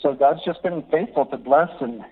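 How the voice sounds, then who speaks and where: A middle-aged man speaks over a tinny, choppy phone line, his voice sitting a touch high for a man. He tells his stories with lively energy and breaks into laughs as he goes. His talk comes through thin and narrow, like a call on a weak connection. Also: moderate pace, slightly rough. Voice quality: laughs often while talking. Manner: lively, animated storyteller. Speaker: missionary guest. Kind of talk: phone interview, storytelling. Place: calling in from abroad.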